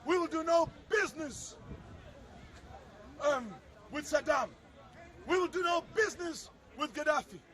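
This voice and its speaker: A man's voice: very high voice, animated, measured pace, long pauses, fairly bright tone. A man giving an impassioned political speech in the street as a soapbox orator, declamatory and emphatic, with repetitive phrasing. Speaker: public soapbox speaker. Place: outdoors at a public speakers' corner. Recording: outdoor recording with faint crowd. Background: faint crowd, urban outdoor sound.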